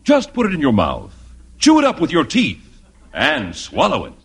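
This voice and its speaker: An actor playing an earnest, pompous commercial announcer in a mock 1950s radio ad, on a noisy old recording. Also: male voice, medium pitch, wildly swooping pitch, moderate pace.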